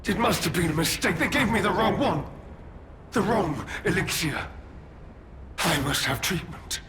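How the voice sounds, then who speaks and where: An actor playing a craven, desperate schemer in a video game, pleading and justifying himself in a halting, theatrical way. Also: male voice, sits high, expressive, fairly bright tone, a little hiss or hum. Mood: desperate, unhinged. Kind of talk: dramatic video game cutscene acting.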